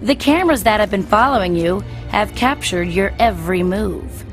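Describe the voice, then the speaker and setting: A woman voicing a little alien girl in a video game, sweet and stilted in a deliberate, knowing way. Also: mid-range voice, lively intonation, soft background music, close to an everyday voice. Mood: smug, sinister. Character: sugary little alien girl hiding smug menace.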